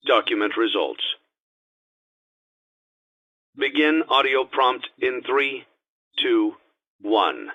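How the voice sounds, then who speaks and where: A man voicing a calm, grave instructor on cassette training tapes for a video game, speaking formally in a measured, matter-of-fact and ominous way. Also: medium pitch. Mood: procedural, detached. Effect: cassette tape filter. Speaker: instructional tape narrator. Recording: dull, lo-fi cassette tape sound.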